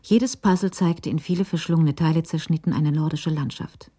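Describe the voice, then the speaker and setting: A woman's voice: sits low, moderate pace, dark tone, breathy edge. A woman narrating a radio crime drama from a script in the role of storyteller.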